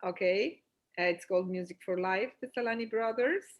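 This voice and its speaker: A woman's voice: medium pitch, brisk. A woman from Venezuela, an author, talking casually and eagerly over a video call. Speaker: author guest.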